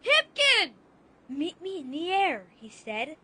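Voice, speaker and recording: A woman voicing an excitable, earnest young boy adventurer in a dramatized audiobook. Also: very high voice, takes it slowly, heavily acted. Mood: alarmed, urgent. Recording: faint music behind.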